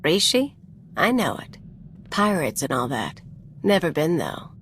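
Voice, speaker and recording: A woman's voice: low voice, big pitch swings, measured pace, fairly bright tone, a little hiss or hum, exaggerated voice. An actress playing a flippant, streetwise female crewmate in a video game, speaking in a casual, clipped way. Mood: offhand. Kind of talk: video game cutscene acting.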